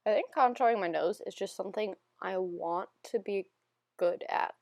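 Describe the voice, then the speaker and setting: A young woman narrating a makeup tutorial in a casual, self-deprecating, rambling voiceover, her voice still a little hoarse from being sick. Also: medium pitch, normal speed, a little mellow, dry recording, clean studio sound. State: slightly hoarse, recovering from illness. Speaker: beauty vlogger.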